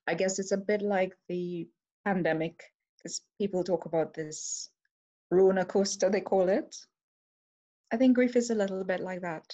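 A woman's voice: lowish voice, a little mellow. A woman in her forties from Trinidad and Tobago, with a Trinidadian accent, talking earnestly and reflectively about her life while seated at home before a laptop camera. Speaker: interviewee sharing her story. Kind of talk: personal testimony to camera. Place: home room, seated on a sofa.

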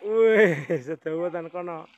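A woman's voice: fairly low pitch, measured pace, dull audio. A woman with a Javanese accent filming children from behind a phone camera outdoors, making casual, teasing remarks and exclaiming as she chuckles. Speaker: person filming children at play. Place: outdoors on a wet open court. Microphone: phone camera held by the speaker.

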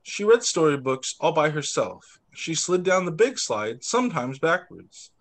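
Young male teacher, higher-pitched voice, very animated picture-book read-aloud for little kids. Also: measured pace. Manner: expressive, doing voices for dialogue, with short asides. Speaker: teacher reading to young children. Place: indoors, on a video call.

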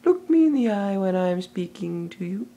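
An actor voicing a sly, hungry python in an animated film, breathy and sibilant, with silky mock politeness. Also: male voice, sits high, normal speed, muffled recording, full character voice. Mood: menacing. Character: sly, menacing male python, hungry and hypnotic.

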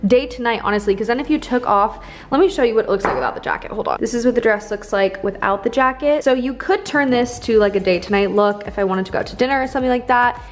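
Chatty girl in her 20s filming herself at arm's length at home, high-ish voice, bubbly. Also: fast talker. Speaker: vlogger. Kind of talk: casual vlog chat. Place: bright home apartment, later inside a car. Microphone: handheld camera at arm's length.

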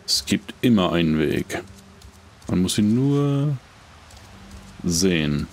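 Low, slow-talking middle-aged man. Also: sing-song, a little hiss or hum. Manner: rambling, jokey. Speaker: game streamer. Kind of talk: casual chat while playing.

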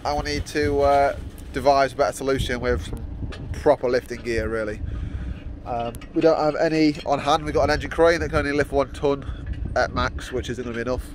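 A man's voice: medium pitch, steady tone, brisk, slight background noise. A young man vlogging casually from his workshop yard, rambling with many fillers and restarts. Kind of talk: casual vlog narration.

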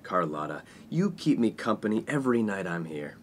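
A man's voice: lowish voice, very animated, moderate pace, a little hiss or hum. An actor playing a smug, spoiled, lecherous 1950s college man in a comedic radio play, talking in a pompous, mock-philosophical way. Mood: suave, boastful. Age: young adult.

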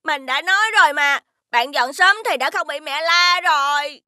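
Squeaky, bright, cheeky magical sidekick. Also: female voice, moderate pace, dry recording, clean studio sound. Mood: teasing. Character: cute, cheeky little magical companion. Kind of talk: Vietnamese animated series dubbing.

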